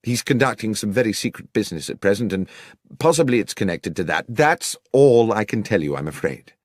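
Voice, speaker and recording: A man with a British accent voicing a loyal, worried Victorian gentleman doctor in an audiobook, polite and earnest. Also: fairly low pitch, lively intonation, a little fast, no echo, studio quality. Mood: guarded.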